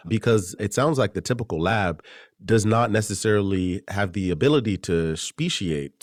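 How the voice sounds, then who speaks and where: A man hosting a medical podcast, asking measured, thoughtful questions. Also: fairly low pitch, no echo, clean studio sound. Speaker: podcast host, physician. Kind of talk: interview questions.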